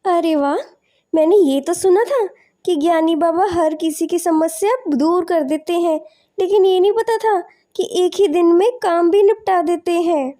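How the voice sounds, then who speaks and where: A woman voicing a curious, excitable poor village wife in an animated moral story, exclaiming and questioning. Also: very high-pitched, unhurried. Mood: delighted, amazed.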